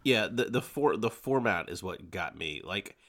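Low-voiced man hosting a podcast. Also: lively intonation, normal speed, fairly bright tone. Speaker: podcast host. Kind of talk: casual podcast chat over drinks.

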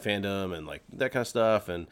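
A man co-hosting a podcast, chatting casually in an excitable, rambling way. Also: sits low, normal speed, smooth. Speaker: podcast co-host. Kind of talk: casual group chat.

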